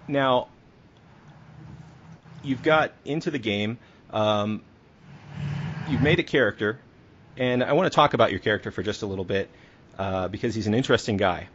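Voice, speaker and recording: A man's voice: lowish voice, moderate pace, pauses often, a bit bright, lo-fi sound, a little hiss or hum. A man working as a podcast interviewer, asking questions in a conversational style.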